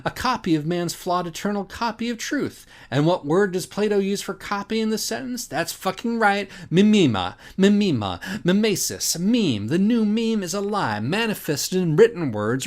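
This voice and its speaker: A man playing an obsessive, sardonic young man who lectures on philosophy, pedantic and rhetorical, moving between emphatic points and muttered asides. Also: high-pitched. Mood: impassioned, insistent. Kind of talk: dramatic reading of a comic monologue.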